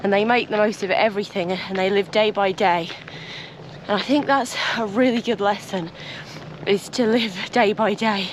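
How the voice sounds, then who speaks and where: A woman in her twenties, her voice husky from dust, talking earnestly and reflectively to her camera outdoors during a long bike trip. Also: medium pitch, steady tone, normal speed, a little hiss or hum, rough recording. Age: 20s. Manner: earnest, reflective, with soft laughs. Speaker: vlogger.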